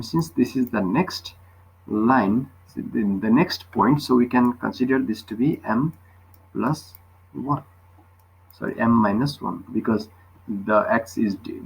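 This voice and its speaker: A man's voice: medium pitch, unhurried, vocal fry, slightly rough, rough recording. A man tutoring physics, explaining a worked problem step by step and often checking with short questions.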